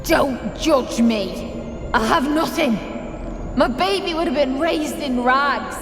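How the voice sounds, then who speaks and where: An actress playing a bitter, grieving young widow in a video game, accusing and anguished. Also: female voice, sits high, slow pace. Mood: tearful, defensive. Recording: echoey reverberant space with music behind.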